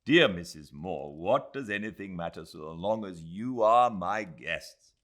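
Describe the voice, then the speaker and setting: A man voicing an eager, humble, excitable young Indian host who speaks with effusive courtesy, in an audiobook. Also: low voice, a little slow. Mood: warm, sincere.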